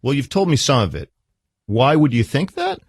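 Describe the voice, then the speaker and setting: A man interviewing in a therapy style, deliberate and analytical, pausing as he offers interpretations. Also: mid-range voice, wildly swooping pitch, husky, dry recording. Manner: measured, deliberate, analytical, with halting pauses. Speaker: psychologist interviewer.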